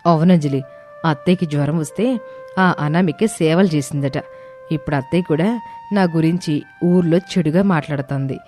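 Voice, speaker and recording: A woman's voice: sits low, normal speed, darkish tone, natural voice. A woman voicing a resentful, scheming elder sister-in-law, the villain of a family moral story. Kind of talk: dramatized moral story dialogue. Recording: music bed under the voice.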